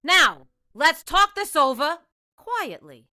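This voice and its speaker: A woman playing a bright, soothing mother. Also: high voice, big pitch swings, slow, full character voice. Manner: coaxing, reassuring. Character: soothing, sensible middle-aged mother and wife. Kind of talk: vintage radio play acting.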